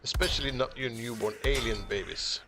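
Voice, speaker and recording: A man's voice: medium pitch, fairly quick, music in the background. A man streaming a building game, chatting casually as he works and often chuckling, musing half to himself. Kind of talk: casual live-stream commentary while building.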